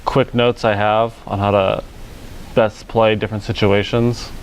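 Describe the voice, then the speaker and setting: A man in his late twenties from California with a lowish voice talks casually with an interviewer, hedging with fillers and restarting his sentences. A faint hiss sits under the recording. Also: measured pace. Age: 20s. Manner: relaxed, filler-heavy, self-correcting. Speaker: professional poker player.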